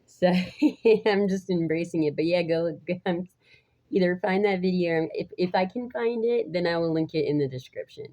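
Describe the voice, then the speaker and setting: A woman in her thirties with a raspy voice, talking to viewers in a halting, rambling casual vlog, often restarting and laughing between phrases. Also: fairly low pitch, mellow. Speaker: vlogger. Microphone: phone.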